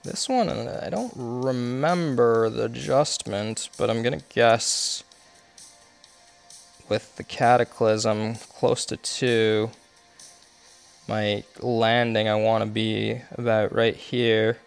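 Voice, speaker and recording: A congested man with a gravelly, fry-laden voice talks through his golf game shot by shot, pausing on fillers as he reasons aloud. His pitch sits in the middle of a man's range, and faint music runs behind him. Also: steady tone. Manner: explains his shots step by step, with many fillers. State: congested, coughing. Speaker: game streamer. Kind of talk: live gameplay commentary.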